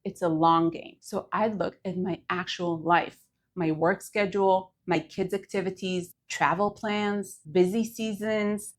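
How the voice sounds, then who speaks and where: A woman from Israel with an Israeli accent, a native Hebrew speaker, giving an earnest, emphatic scripted video lesson as a Hebrew teacher. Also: mid-range voice.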